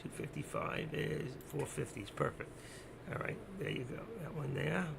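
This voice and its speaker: A man with a gravelly, hoarse voice that is giving out, doing unscripted live trading commentary, thinking aloud, repeating himself and instructing his viewers. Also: medium pitch, moderate pace, background noise. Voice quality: gravelly, creaky. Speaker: live stock-trading streamer.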